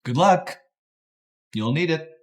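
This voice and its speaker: Cocky scheming young heir, smug and taunting, with lively pitch, a man playing him. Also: takes it slowly. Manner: smug, taunting, then indignant. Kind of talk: melodrama acting.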